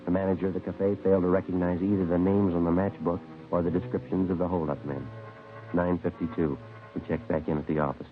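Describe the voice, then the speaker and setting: An actor playing a terse, businesslike American police sergeant in a 1950s radio crime drama, clipped and matter-of-fact. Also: male voice, low-pitched, flat delivery, fast talker, natural voice. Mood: deadpan, factual. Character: terse, businesslike male police sergeant narrating his case. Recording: dull, phone-like vintage radio recording, faint music.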